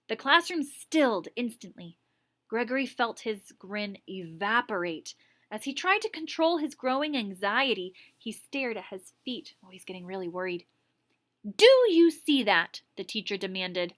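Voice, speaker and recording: A woman teacher reading a story aloud expressively, stopping for chatty asides to explain and comment. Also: mid-range voice. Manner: animated, explains words and comments as she reads.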